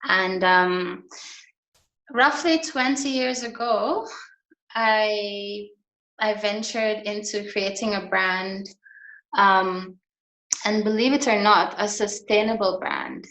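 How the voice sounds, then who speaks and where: A middle-aged woman from Guyana, a fashion designer and interview guest, talking slowly and thoughtfully with many pauses and ums. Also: medium pitch, steady tone, fairly bright tone. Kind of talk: online video interview. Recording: echoey room over video call.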